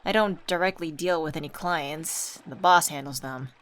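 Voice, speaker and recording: Woman acting young female best friend, lowish pitch, playful, affectionate, teasing, conversational ASMR roleplay. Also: normal speed, fairly bright tone, slight background noise. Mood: fond, casual. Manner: playful, teasing, familiar slangy chat.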